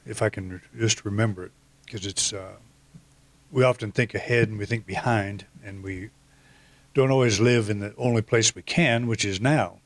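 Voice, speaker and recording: A middle-aged man with a slight croak from a cold gives a reflective, meandering spiritual talk. His voice sits a little low and is recorded dry and close, with no room sound. He pauses often, fills gaps, and restarts his sentences. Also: no room sound. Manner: reflective, rambling, with many fillers and restarts. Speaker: minister giving a talk.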